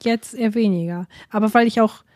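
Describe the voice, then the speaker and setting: A woman's voice: fairly high pitch, brisk, a little mellow, dry recording, clean studio sound. A woman co-hosting a podcast in casual chat, rambling with unsure asides while she has a cold. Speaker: podcast co-host. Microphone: remote recording, separate from co-host.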